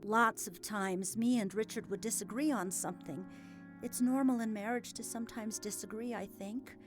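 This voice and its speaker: A woman playing a grieving, hesitant farm widow in an audio drama, speaking in a halting, emotional way. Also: mid-range voice, faint music behind, lightly acted. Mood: hesitant, troubled. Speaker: voice actor.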